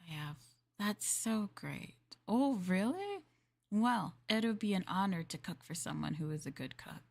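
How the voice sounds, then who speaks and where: A young woman streamer in a maid roleplay, chatting in a polite, formal way, giggling and dropping into soft asides. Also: medium pitch, sing-song, a little slow, vocal fry. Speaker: VTuber streamer.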